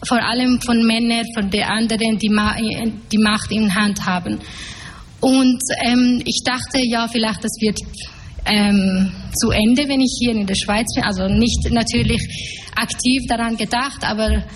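A woman's voice: fairly high pitch, steady tone, unhurried. Young Afghan woman speaking in a hall. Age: 20s. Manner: hesitant, many fillers, searching for words. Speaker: panel guest, activist. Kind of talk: public panel talk. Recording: echoey hall, faint noise.

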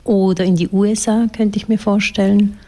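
A woman's voice: medium pitch, level tone, normal speed, dark tone. A woman answering a survey question casually, speculating aloud. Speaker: interviewee.

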